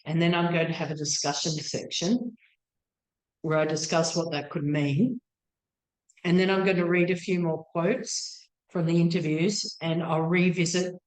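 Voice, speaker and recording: A woman researcher giving an academic talk in a tentative, apologetic manner, her voice tiring as if she is losing it. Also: low-pitched. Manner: tentative, self-questioning, apologetic. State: losing her voice, throat-clearing. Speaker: PhD researcher presenting a talk. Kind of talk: academic lecture. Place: indoor meeting room with audience. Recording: echoey room.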